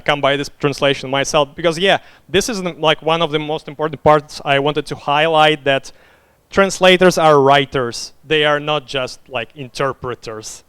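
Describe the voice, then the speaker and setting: A young man, a native Russian speaker, answers questions from the audience in a loose way, peppering his speech with "like". His voice is fairly high and resonant. It sounds dry and close to the mic. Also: a bit bright, clear, resonant tone, dry recording. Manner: loose, filler-heavy, leans on "like". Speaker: conference speaker. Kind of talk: Q&A after a talk.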